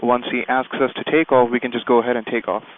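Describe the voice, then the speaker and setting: A man's voice: medium pitch, little pitch movement, quick pace, phone-quality sound. A man working as a pilot and video host, explaining procedures step by step from a small plane cockpit and making radio calls. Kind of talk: instructional vlog commentary with radio calls from the cockpit.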